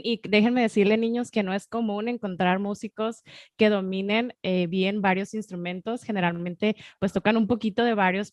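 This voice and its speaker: A woman's voice: mid-range voice, no room sound. A woman, a teacher moderating a school question session, polite and formal in her thanks. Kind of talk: hosting a school Q&A.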